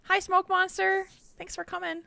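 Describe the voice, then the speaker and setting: A woman's voice: very high-pitched, flat delivery, normal speed, creaky, sharp tone, no room sound. A woman co-hosting a casual live-stream show, playful and laughing often. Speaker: podcast co-host.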